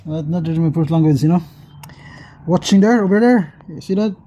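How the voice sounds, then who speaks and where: A man's voice: fairly high pitch, brisk, dark tone, dull audio, slight background noise. A Filipino man, Bisaya his first language, rambling philosophically and laughing often in a casual chat to camera.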